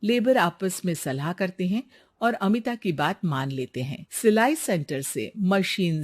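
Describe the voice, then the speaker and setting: A woman narrating a scripted story as the storyteller. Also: lowish voice.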